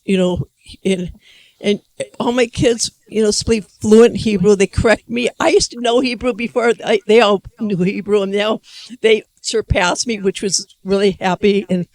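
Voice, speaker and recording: A woman's voice: medium pitch, a little mellow. An older American woman giving a personal testimony at a conference, rambling and emotional with many restarts, speaking into a handheld microphone in a hall. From United States.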